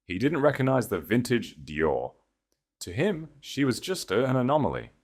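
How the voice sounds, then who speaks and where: A man's voice: medium pitch, sing-song, normal speed. A man reading scripted fiction as an audiobook narrator, in a dramatic storytelling style.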